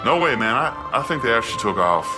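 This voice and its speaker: An actor playing an eager, loyal, foul-mouthed sidekick in a comedy audio drama, with a childlike, folksy manner. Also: male voice, mid-range voice, sing-song, fast talker, over loud music, lightly acted. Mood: playful.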